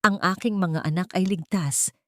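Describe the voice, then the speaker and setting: A woman's voice: on the low side, normal speed, dry recording, clean studio sound. A woman reading scripted, dramatic narration as a storyteller.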